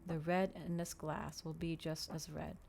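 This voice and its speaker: Woman acting predatory male vampire, cold seductive mentor, commanding, low-pitched, audiobook dialogue, noisy recording. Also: level tone, measured pace, creaky. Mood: coolly persuasive. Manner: lecturing, commanding. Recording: noticeable background noise.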